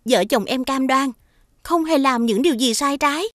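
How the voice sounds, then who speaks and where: High-voiced, honest cartoon wife. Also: female voice, moderate pace, a bit bright, no room sound, studio quality. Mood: earnest. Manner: respectful, earnest. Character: polite, honest, principled young wife. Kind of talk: animated fairy-tale voice acting.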